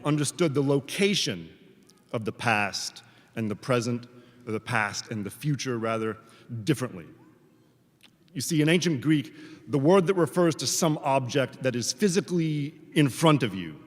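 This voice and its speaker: Man giving a speech, echoing hall. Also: medium pitch. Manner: measured, deliberate phrasing with rhetorical pauses. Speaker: professor giving a commencement speech. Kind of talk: formal prepared commencement address. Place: large hall at a graduation ceremony. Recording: reverberant large hall, amplified speech.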